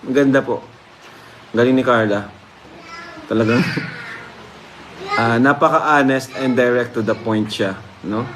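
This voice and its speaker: A man's voice: medium pitch, measured pace, pauses often. A man hosting a reaction video, commenting casually to his viewers and repeating phrases for emphasis. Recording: clear background noise.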